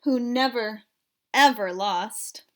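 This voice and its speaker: Woman, high voice, children's read-aloud, measured expressive narration. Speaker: storybook reader for children.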